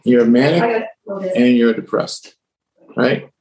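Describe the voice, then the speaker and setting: A man from the United States, a laughter yoga leader, talking as a guest in a relaxed way, with many restarts and easy laughs. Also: mid-range voice. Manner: relaxed storyteller, halting with restarts, laughs easily. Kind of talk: casual conversation. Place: home with household noises. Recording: echoey room.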